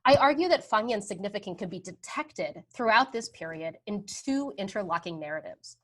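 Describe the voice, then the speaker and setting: A woman in her thirties giving an academic history lecture over slides, relaxed and conversational, often checking in with "right?". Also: medium pitch, moderate pace. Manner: conversational, frequent "um" and "right?" tags.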